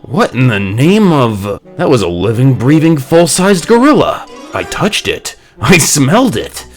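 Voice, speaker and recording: A man voicing an earnest, polite superhero in a measured comic-book dub style. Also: mid-range voice, very animated. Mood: resolute. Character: earnest adult male superhero and mild reporter. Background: music.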